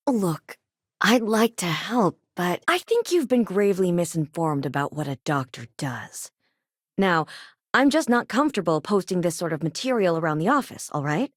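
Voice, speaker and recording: Female space-colony doctor, video game dialogue, lowish pitch, expressive intonation, firm but caring, casual and direct, dry recording. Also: no room sound. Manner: plain-spoken, casual, steadfast.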